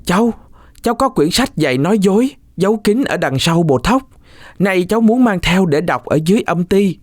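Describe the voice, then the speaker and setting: Man putting on a high-pitched mischievous young boy. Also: expressive, moderate pace, no room sound. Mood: pleading. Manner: urgent, exaggerated calling out. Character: mischievous lying orphan boy, pleading and crying out.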